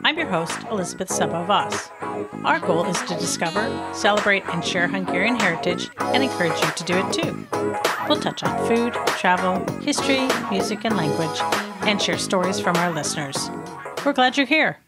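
A middle-aged American woman hosting a podcast, reading a scripted narration in a clear, patient, explanatory way. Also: mid-range voice, expressive, moderate pace, over loud music. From United States. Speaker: podcast host.

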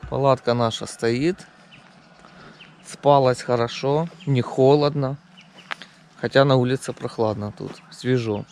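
A man's voice: mid-range voice, a little slow, pauses often, darkish tone, a little hiss or hum. A man narrating a casual camping vlog outdoors, talking to viewers. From Ukraine. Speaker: camping vlogger. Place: outdoors at a forest campsite.

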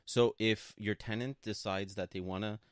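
A man's voice: fairly low pitch, steady tone, normal speed, dry recording, studio quality. A man co-hosting a conversational radio advice show, speaking in an emphatic, assertive way and repeating points for stress. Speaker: radio show co-host and broker. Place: radio studio.

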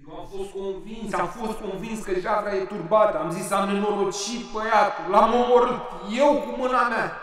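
An actor playing a rough, hard-drinking middle-aged father, blunt and self-justifying, in an audio drama. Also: high voice, steady tone, few pauses, a bit bright. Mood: shaken, remorseful. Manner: blunt, colloquial, repeats himself. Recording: echoey reverberant room, background noise.

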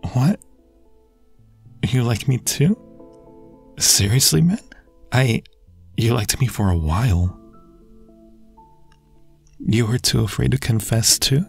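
A man's voice: medium pitch, vocal fry, breathy edge, full character voice. A young man acting a devoted, anxious friend in love in an intimate ASMR roleplay, speaking earnestly and hesitantly. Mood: amazed, relieved.

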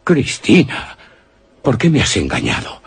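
An actor playing a defiant, indignant captive hero in a radio horror drama, melodramatic and outraged, with a very husky voice. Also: male voice, on the low side, huge pitch swings, a little slow, full character voice. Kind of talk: Spanish-language radio horror acting.

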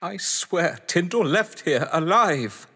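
Man playing high-pitched, excitable mystery writer. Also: takes it slowly, slightly rough, exaggerated voice. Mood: defensive. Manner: theatrical, indignant, well-spoken. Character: proud, excitable English mystery writer, gamesman under suspicion. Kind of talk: audio drama acting. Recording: long hall-like reverb.

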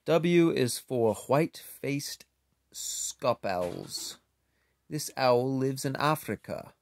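Unhurried, lively man reading aloud. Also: medium pitch, no room sound. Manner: expressive, unhurried, with playful asides. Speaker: picture book reader. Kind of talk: children's book read-aloud with casual asides.

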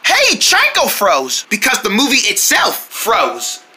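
A performer voicing hyper, shouty cartoon mascots for a homemade channel promo. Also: medium pitch, wildly swooping pitch, slow, slight background noise, full character voice. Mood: excitable, frantic.

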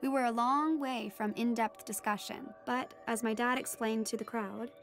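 An earnest, reflective teenage girl acted by a young woman tells her story in an audio drama. Her voice sits fairly high. Music plays underneath her narration. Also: fairly even intonation, normal speed, lightly acted. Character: teenage daughter of a marine biologist, earnest storyteller. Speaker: narrator and lead character. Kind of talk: scripted first-person audio drama narration.

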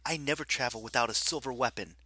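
A man's voice: mid-range voice, quick pace, sharp tone, dry recording. A man voicing a brave young woman praetor, firm and dry, in a slightly raised voice. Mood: defiant.

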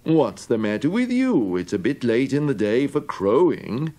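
A man's voice: medium pitch, very animated, unhurried, dark tone. A man voicing a friendly male donkey who coaxes the others along. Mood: coaxing. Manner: friendly, encouraging and coaxing. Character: kindly male donkey, leader of the group.

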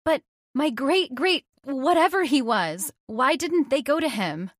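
A distressed heiress, played by an actress, questions in disbelief, halting and anxious. Her high voice moves slowly and swings widely in pitch, in the style of old-time radio horror. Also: expressive, dry recording, studio quality. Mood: distressed, disbelieving. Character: distressed, disbelieving female heir to a factory fortune.

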